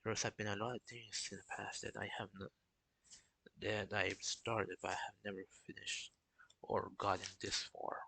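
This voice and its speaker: A young man talking casually on a live stream, in a flat, halting way with many restarts. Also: fairly low pitch, gravelly, breathy edge, dry recording, rough recording. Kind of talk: casual solo stream talk.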